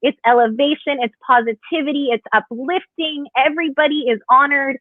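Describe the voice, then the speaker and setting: A woman's voice: high-pitched, steady tone, brisk, dry recording. An American woman chatting as a motivational interview guest, gushing and emphatic, heard over a call. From Hawaii, United States. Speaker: interview guest, leadership coach. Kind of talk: casual motivational chat. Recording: tinny, narrow phone-like call audio.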